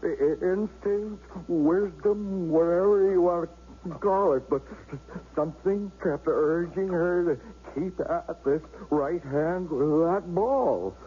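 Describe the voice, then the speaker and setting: A man acting a husband who is regaining the use of his arm in a 1970s radio drama, talking haltingly and stammering. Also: high voice. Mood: grateful. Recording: dull vintage radio recording with faint hiss.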